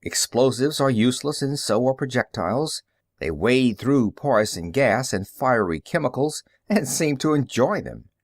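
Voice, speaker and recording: A man's voice: mid-range voice, very animated, measured pace, no echo, studio quality. A man voicing a young male newspaperman in an audiobook, earnest, skeptical and matter-of-fact, explaining with emphasis. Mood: earnest, grave.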